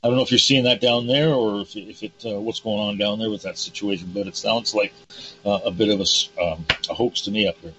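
A man hosting a casual talk show, hoarse because he is losing his voice, ranting emphatically and indignantly. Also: on the low side, fairly even intonation, a little fast, sharp tone, faint music behind. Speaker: host. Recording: dull lo-fi audio with faint hum.

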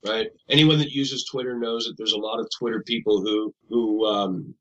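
A man's voice: on the low side, steady tone, moderate pace, muffled recording. A Canadian man talking as a guest on a radio show, rambling and conversational, often correcting himself mid-sentence. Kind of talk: casual radio interview.

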